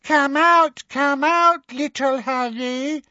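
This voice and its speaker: A man voicing a cruel, gloating dark witch in an audiobook, taunting and fanatical with drawn-out words. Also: very high voice, no room sound, dull audio, voice pushed up, full character voice. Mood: mocking, gloating. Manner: taunting, drawn-out, mock baby talk at times. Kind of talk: audiobook character voice.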